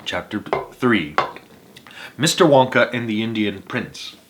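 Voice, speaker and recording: A man's voice: lowish voice, a little slow, low-quality recording. Man reading a children's storybook aloud. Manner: expressive, with occasional stumbles over words. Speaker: narrator reading aloud, channel host.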